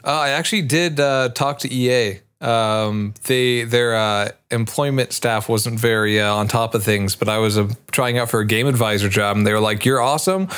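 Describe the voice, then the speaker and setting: Arizona guy chatting on a podcast, clear smooth voice, bit halting. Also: medium pitch, a bit bright. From Arizona, United States. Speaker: podcast panellist. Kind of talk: casual chat.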